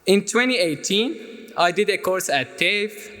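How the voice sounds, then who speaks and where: A man's voice: high voice, expressive, unhurried, bright, forceful tone. A man in his twenties from Syria, a native Arabic speaker with a Syrian Arabic accent, giving a prepared personal speech to an audience. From Aleppo, Syria. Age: 20s. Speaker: student speaker at an event. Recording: long hall reverb.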